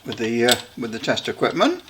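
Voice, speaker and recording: Mature male hobbyist presenter, medium pitch, casual and rambling, stop-start delivery with repeated words. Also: huge pitch swings, noisy background. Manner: halting, rambling, repeats himself. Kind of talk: casual hands-on equipment demonstration.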